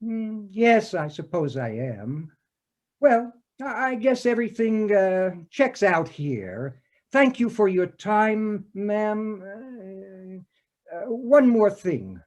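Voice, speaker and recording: A man playing a pompous, deliberate police detective in a comic stage scene, speaking formally with hesitant, wordy phrasing. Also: sits high, sing-song, darkish tone, exaggerated voice. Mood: pompous, obliging. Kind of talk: comic readers theater scene.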